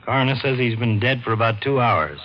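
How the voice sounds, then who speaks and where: An actor playing a weary, no-nonsense police lieutenant with a worn-out voice in an old radio crime drama. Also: male voice, mid-range voice, expressive, a little fast, close to an everyday voice. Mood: businesslike. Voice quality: worn-out sounding. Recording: muffled, slightly noisy old radio recording.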